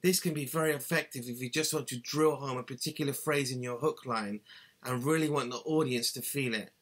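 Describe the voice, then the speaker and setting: A man's voice: medium pitch, fairly quick, a bit bright. A man in his thirties giving an earnest, instructive singing lesson, talking to a webcam in a small cluttered bedroom. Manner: earnest, instructive, gestures with both hands. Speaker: singing tutor. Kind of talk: scripted how-to talk to camera.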